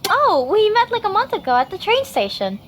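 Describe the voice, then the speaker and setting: A woman's voice: very high-pitched, huge pitch swings, a little fast, full character voice. A woman playing a bubbly, sweet and effusive young woman, an eager friend in a game cutscene. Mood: cheerful. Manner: effusive, coaxing. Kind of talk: fan game cutscene voice acting. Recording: loud music over the voice.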